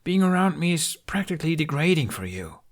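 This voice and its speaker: A man's voice: fairly high pitch, very animated, a little fast, pitched above the performer's own voice. A man voicing a self-deprecating young man in an audiobook, downcast, wry and given to mumbling. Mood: dejected.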